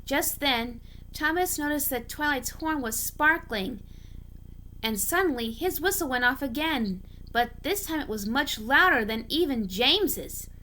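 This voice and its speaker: A woman's voice: high voice, expressive, a little slow, pushed and bright, a little hiss or hum. A woman reading a story aloud in scripted narration, now and then stumbling over words. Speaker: amateur story reader.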